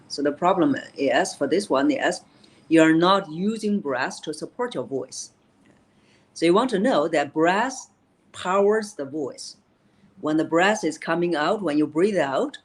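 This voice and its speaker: A woman voice coach in a live interview, explaining vocal technique in an instructive way. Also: low voice. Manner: instructive, demonstrates voices while teaching. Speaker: voice coach and keynote speaker.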